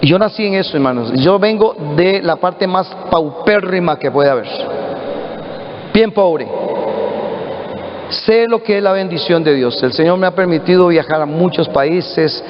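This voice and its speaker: A middle-aged Costa Rican pastor preaches earnestly, calling out to his brothers and sisters in a high voice. The sermon rings through a roomy hall with a long tail of reverb. The recording is dull and lo-fi. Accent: Costa Rican Spanish. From Costa Rica. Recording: echoey hall, dull lo-fi audio.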